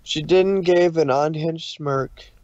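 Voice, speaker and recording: A man narrating a scripted story, somewhat haltingly, with occasional stumbles over the words. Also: on the high side, a little mellow, no room sound, lo-fi sound.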